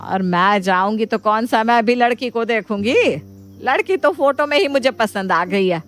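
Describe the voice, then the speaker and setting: An eager middle-aged mother, acted by a woman in a storybook drama speaks in a fairly high voice. She is chatty, expressive and trusting, like family talking at home. Faint music plays underneath. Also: moderate pace. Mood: mildly reproachful. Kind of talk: dramatised Hindi moral story dialogue.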